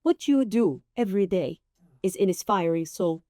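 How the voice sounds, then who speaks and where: A woman hosting an interview, encouraging her guest with quick short replies. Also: medium pitch, lively intonation, a little mellow, no room sound. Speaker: talk show host. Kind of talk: casual interview.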